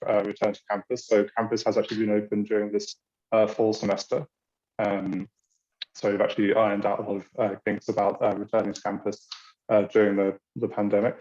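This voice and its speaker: British guy doing an online orientation, low flat voice, lots of ums, kinda muffled zoom audio. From Britain. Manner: hesitant, many ums and uhs. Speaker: university international programs staff. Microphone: video call.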